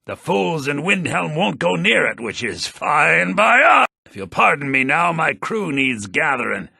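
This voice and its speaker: An actor playing a brash, boastful pirate captain in a fantasy video game, with roguish swagger. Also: male voice, on the high side, very animated, a little slow, creaky, pushed and bright, husky, dry recording, studio quality, full character voice. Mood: boastful, sly. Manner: swaggering, salty seafarer talk.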